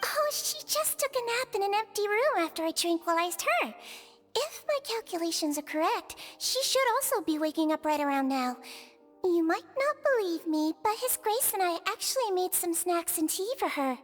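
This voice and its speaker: A woman voicing a small, childlike girl nurse in a video game, speaking in a formal, gentle, precise way. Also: extremely high pitch, animated, normal speed, long reverb tail, faint music behind. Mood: polite, composed.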